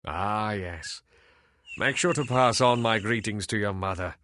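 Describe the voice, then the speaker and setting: Sardonic, weary old elf sage. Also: male voice, on the low side, a bit bright. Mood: wistful. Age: older. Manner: sardonic, theatrical, deliberate. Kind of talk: video game voice acting.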